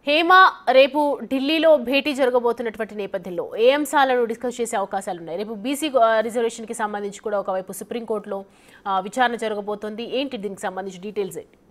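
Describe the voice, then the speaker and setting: A woman's voice: on the high side, quick pace, fairly bright tone. A woman presenting live news as the anchor.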